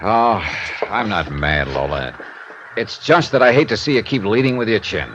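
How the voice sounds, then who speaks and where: An actor playing a tough but sympathetic insurance investigator in a 1950s radio detective drama, with an American accent and a clipped, wry delivery. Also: male voice, on the low side, expressive, normal speed, muffled recording, natural voice. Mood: weary, sympathetic. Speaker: lead actor and narrator. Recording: hissy vintage radio recording.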